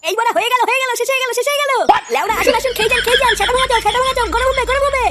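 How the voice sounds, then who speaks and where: A woman voicing an excitable, foul-mouthed male fritter seller in a comedy cartoon, with repetitive, crude patter. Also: extremely high pitch, rapid-fire, slight background noise. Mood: hawking. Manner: repetitive hawking, crude banter.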